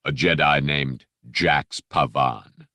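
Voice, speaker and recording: Menacing dark lord, played by a male narrator: extremely low, silky, formal and measured, very dry audiobook sound. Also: steady tone, no echo, full character voice. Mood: commanding. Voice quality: deep, rich, silky, mellifluous. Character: menacing, coldly polite armored dark lord. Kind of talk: audiobook character voice.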